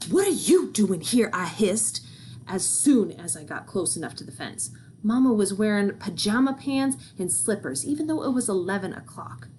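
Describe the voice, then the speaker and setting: Woman doing a kids book read aloud, folksy expressive storytelling, medium pitch, little background noise. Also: normal speed. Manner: animated, folksy first-person storytelling. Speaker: book reader.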